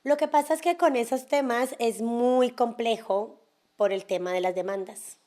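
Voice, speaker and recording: A woman with a Colombian accent from Cali, a guest in a podcast interview telling her story frankly in a relaxed way, with a lot of fillers. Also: a little high, normal speed. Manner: frank, relaxed, many fillers and little asides. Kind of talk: casual conversational storytelling.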